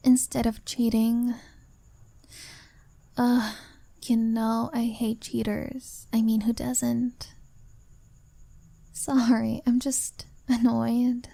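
A woman voicing a shy young woman who confesses her feelings to a younger friend, speaking softly and often in a whisper. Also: a little high, level tone, very slow, vocal fry, pauses often, dry recording, exaggerated voice. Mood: annoyed. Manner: soft, hesitant, often whispered. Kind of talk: ASMR-style roleplay monologue.

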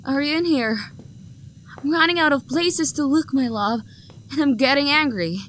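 A woman's voice: high-pitched, moderate pace, a bit bright, slight background noise. A young woman acting an obsessive, possessive lover who hunts for the one she adores, her voice sweet and menacing and often sinking to a whisper. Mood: pleading, unhinged. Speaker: voice actor. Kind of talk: audio roleplay acting.

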